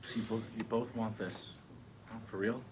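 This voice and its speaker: A man's voice: fairly low pitch, steady tone, normal speed, lightly acted. A man playing an earnest, good-natured husband and father in a sitcom script table read. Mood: concerned. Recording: clearly noisy room recording.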